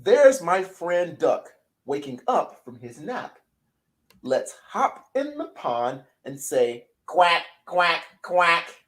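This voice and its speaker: A man's voice: on the high side, sing-song, takes it slowly, fairly bright tone, heavily acted. Horace, a man doing a stuffy sick horsefly. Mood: singsong. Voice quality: stuffy, hoarse-sounding sick voice. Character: sick, stuffy-nosed little horsefly, gentle and sweet. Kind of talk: children's picture-book read-aloud.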